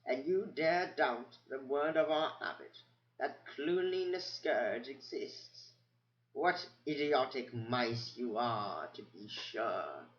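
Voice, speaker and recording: A man voicing an ancient male mouse scholar who squeaks near a whisper, doddering and forgetful. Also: expressive, slow, echoey room, lo-fi sound. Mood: scornful.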